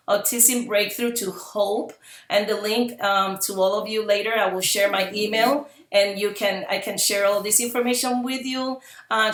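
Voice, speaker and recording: A woman's voice: medium pitch, fairly bright tone. A woman, giving an earnest public presentation as a parent advocate and repeating phrases for emphasis. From Puerto Rico. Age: 50s. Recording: roomy echo.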